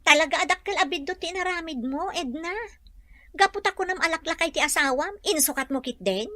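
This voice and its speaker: A woman's voice: extremely high pitch, fairly quick, bright, forceful tone, no room sound. A woman acting a frank, concerned friend who questions and admonishes in a radio drama. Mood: admonishing.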